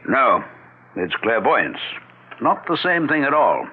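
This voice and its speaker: An actor playing an assured, learned doctor in a 1970s radio drama, speaking with precise, courteous, pedantic care. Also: male voice, mid-range voice, very animated, takes it slowly, slightly rough, heavily acted. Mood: assured, explanatory. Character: assured, learned male doctor who believes in telepathy. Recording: muffled, narrow-band 1970s radio recording.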